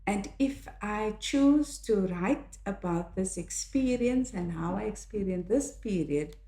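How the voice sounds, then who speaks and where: An older woman from South Africa, a native Afrikaans speaker with a South African accent, reflecting on her own life in a measured, earnest way. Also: medium pitch, animated, mellow. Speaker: author and podcast host. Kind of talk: reflective personal monologue. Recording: echoey room.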